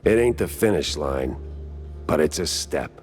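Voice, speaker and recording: A hard-boiled cartoon mouse detective with a very low, gruff American voice. He talks slowly, in a wry, laconic noir manner, tired but dogged, with music underneath. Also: lively intonation, a little mellow. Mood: resigned, steady. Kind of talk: 1930s noir detective acting with brooding voice-over.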